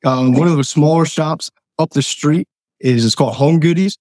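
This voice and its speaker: Hoarse friendly man being interviewed. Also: on the high side, moderate pace, a little mellow, dry recording. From Lancaster County, Pennsylvania. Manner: friendly, enthusiastic, filler-heavy. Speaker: guest. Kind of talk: casual interview chat.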